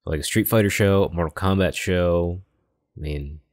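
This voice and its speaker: Low-voiced man chatting on a podcast, dry. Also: moderate pace, dry recording. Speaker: podcast co-host. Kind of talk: casual chat about games and films.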